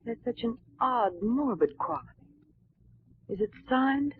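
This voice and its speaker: A woman's voice: fairly high pitch, expressive, a little slow. Tinny vintage-radio lady companion. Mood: curious. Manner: inquisitive. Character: refined, curious young lady companion. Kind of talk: 1940s radio drama acting. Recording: tinny, hissy 1940s radio recording.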